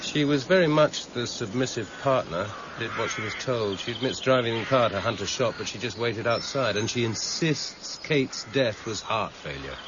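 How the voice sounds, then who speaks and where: An actor playing a weary, measured senior police detective with quiet authority and an English accent, in a radio drama. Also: male voice, mid-range voice, lively intonation, lightly acted. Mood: weary, reflective. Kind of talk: 1990s British radio drama acting. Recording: muffled, noisy old radio broadcast recording.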